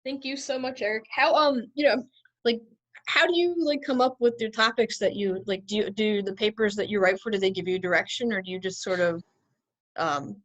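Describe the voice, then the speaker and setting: A woman's voice: medium pitch, brisk, lo-fi sound. A woman hosting an event, chatting casually and informally with loose, hesitant phrasing. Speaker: event host and interviewer. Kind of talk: casual chat.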